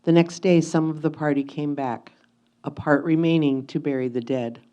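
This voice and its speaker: Mellow, low-pitched woman reading solemnly. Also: fairly even intonation, moderate pace, lo-fi sound. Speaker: reader of historical letters in reader's theatre. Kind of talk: dramatic reading of a first-person historical memoir.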